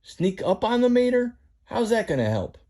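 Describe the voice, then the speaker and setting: A man's voice: sits high, sing-song, normal speed, dark tone, voice pushed up. A man voicing an eager young male hero in a read-aloud story. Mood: earnest.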